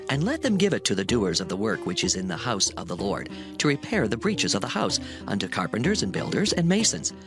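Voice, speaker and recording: Solemn commanding king, sweeping delivery. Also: on the low side. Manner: declamatory, formal. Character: king of Judah, solemn, commanding, alarmed by divine wrath. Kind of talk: dramatized scripture acting. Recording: music bed under the voice.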